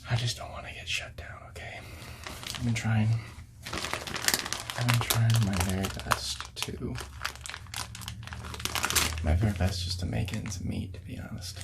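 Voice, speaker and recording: Casting: male performer as easygoing hippie record store clerk, ASMR role-play, whispery and crackly, friendly, casual, slightly halting. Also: on the low side, steady tone. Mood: hesitant, polite. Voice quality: soft, breathy whispering, creaky. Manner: hesitant, casual, polite small talk.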